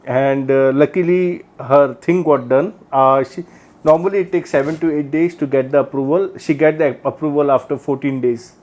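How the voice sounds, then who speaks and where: A man's voice: mid-range voice, normal speed, a little mellow. A man in a casual chat, telling an anecdote in loose, informal grammar and nodding along with short murmurs. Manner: chatty, loose grammar, frequent "mm" backchannels.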